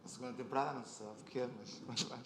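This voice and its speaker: A man's voice: mid-range voice, fairly even intonation, very fast. A man with a European Portuguese accent hosting a casual live stage interview, talking conversationally and searching for his words. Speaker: host, interviewer. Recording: roomy echo, clear convention hall noise.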